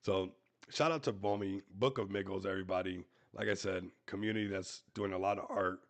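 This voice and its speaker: A man hosting a casual crypto livestream, hoarse and losing his voice, hyping coins and calling out to viewers. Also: sits low, steady tone, a little fast. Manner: hype-driven, repeats "everybody", talks to viewers. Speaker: crypto show host.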